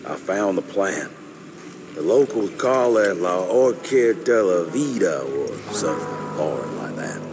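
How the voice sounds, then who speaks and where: An actor voicing a gruff, world-weary middle-aged American agent in a video game, blunt and folksy. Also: male voice, sits low, lively intonation, measured pace, music in the background. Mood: dry, matter-of-fact. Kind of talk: video game cutscene acting.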